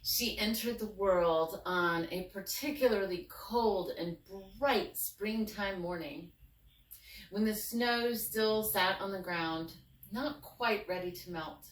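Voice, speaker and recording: A woman speaks slowly and earnestly, with expressive, thoughtful pauses. She sounds like a storyteller opening up. The recording is echoey and a little distant from the mic, with some noise behind her. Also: mid-range voice. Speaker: fairy tale writer and storyteller. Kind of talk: heartfelt personal talk, then storybook reading.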